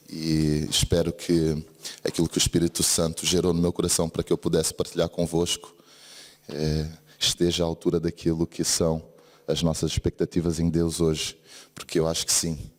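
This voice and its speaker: Deep-voiced preacher in a reverberant church. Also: male voice. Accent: Brazilian Portuguese mixed with European Portuguese. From Brazil. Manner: earnest, repeatedly asks the congregation for an "amen". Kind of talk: church sermon. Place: church service hall. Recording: long reverb of a church hall.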